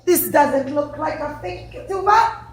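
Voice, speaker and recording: Brief: woman doing a wealthy, exasperated old father, high and loud, echoey room. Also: lively intonation, unhurried. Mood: indignant. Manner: exasperated, shouting in disbelief. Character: rich, blustering older father.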